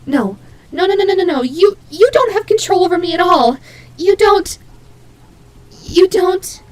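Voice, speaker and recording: Cute demon bunny girl, woman performing: high voice, unhurried pace, proud tsundere grumbling with indignant stammers, audio roleplay. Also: expressive, poor audio. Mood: panicked denial. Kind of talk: scripted audio roleplay acting.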